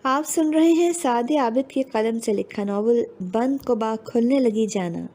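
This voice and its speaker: A woman's voice: a little high, unhurried, dark tone. A woman reading a scripted announcement for an audio novel channel.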